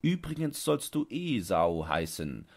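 A man's voice: on the low side, very animated, a little slow, vocal fry, a little mellow, heavily acted. A man voicing a condescending, domineering dog owner with an angry temper in a dramatised storybook reading. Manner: condescending, commanding, sharply insistent.

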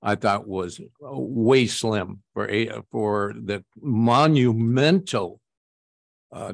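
An American man speaking haltingly as a board director at a formal public meeting. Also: lowish voice, gravelly, no echo. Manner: halting, hesitant delivery.

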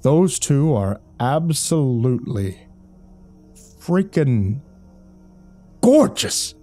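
A man's voice: fairly high pitch, sing-song, measured pace, darkish tone, soft background music, voice pushed up. A man voicing a goofy, impulsive buddy in an audio story, speaking with exaggerated, emphatic earnestness. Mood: awestruck, opinionated. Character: goofy, excitable, impulsive male buddy, boastful jokester.

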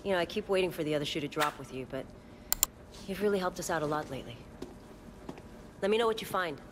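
A woman's voice: lowish voice, quick pace, natural voice. A woman playing a blunt, exasperated, foul-mouthed policewoman in a video game. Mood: wary, grudging. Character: frustrated, foul-mouthed policewoman, hard-bitten and wary. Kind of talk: video game drama acting. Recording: noticeable vehicle and ambient noise.

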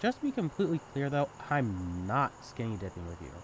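A man's voice: medium pitch, huge pitch swings, brisk, noisy background. A young man acting in an intimate audio roleplay as a sibling's best friend, talking candidly and casually in a caring, teasing way. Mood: playful, teasing. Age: young adult. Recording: night ambience with chirping crickets. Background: crickets and insects, outdoor ambience.

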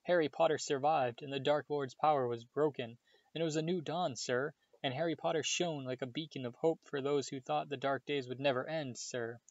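A man doing a tiny, trembling male house elf from a storybook reading, squeaky and high, begging and fretting and tacking sir onto everything. His pitch leaps about nervously. The sound is slightly dull and dry. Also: fairly even intonation, fairly quick, dry recording, natural voice. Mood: anguished. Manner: squeaky, pleading, keeps saying sir. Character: small frightened male house elf, servile, tearful.

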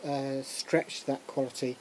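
Informal tutorial lecturer, mid-pitched, halting and self-interrupting, muttering asides, noisy recording. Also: fairly even intonation, a little mellow. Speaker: music technology lecturer. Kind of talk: informal software tutorial, talking through a demonstration. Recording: clearly noisy recording with room ambience.

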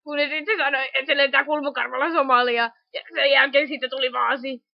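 A woman improvises comic lines for an amateur cartoon fandub. Her voice sits high. The recording is dull and homemade. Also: fairly even intonation, creaky.

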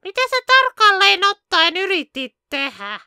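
A woman's voice: very high-pitched, big pitch swings, pushed and bright, exaggerated voice. A woman voicing a prim, skeptical teenage ninja girl in an anime parody dub.

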